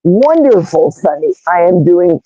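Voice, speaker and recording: A woman with a loud, raspy, brash voice hosting a casual livestream, reading chat comments aloud and greeting viewers. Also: lowish voice, measured pace, no echo, lo-fi sound. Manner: animated, reads comments aloud, squeals greetings. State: hoarse, vocal fry.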